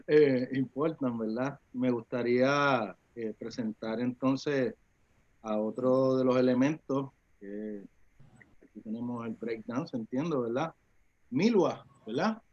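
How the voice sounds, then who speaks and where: A man's voice: medium pitch, slow pace, a little mellow. A man moderating a panel in a loose, folksy way with many fillers. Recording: dull lo-fi audio.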